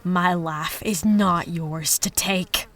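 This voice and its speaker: An actress playing a vengeful, grief-stricken young frontier woman in a western audio drama, speaking with slow, bitter deliberation. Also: lowish voice, steady tone, a bit bright. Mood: cold, bitter.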